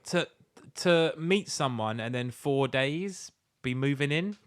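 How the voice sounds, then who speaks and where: Male podcast co-host, medium pitch, casual and comic, blunt, sweary, openly incredulous. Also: lively intonation, slow pace, clear, resonant tone. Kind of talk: casual comic chat, reading out letters and reacting.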